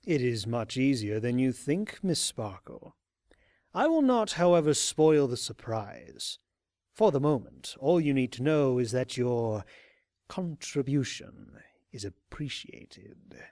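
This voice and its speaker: A man playing a coldly polite, sinister pony cult leader in an audio drama, speaking in a measured, formal and menacing way. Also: mid-range voice, sing-song, darkish tone, no echo, clean studio sound. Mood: smugly menacing. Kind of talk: fan audio drama acting.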